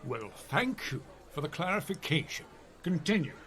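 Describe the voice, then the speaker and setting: Man playing a forceful, bright-voiced dwarf warrior. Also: fairly high pitch, big pitch swings, moderate pace. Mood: blunt. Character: gruff, battle-hungry male dwarf warrior, stubborn and earnest. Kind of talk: comedy radio play acting.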